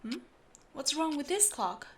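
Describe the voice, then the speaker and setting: A woman playing a meticulous, secretive steampunk clock repairer in an audio roleplay, explaining things patiently and in a measured way to the listener. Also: high voice, big pitch swings, slight background noise. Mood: patient, focused. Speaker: amateur voice actor.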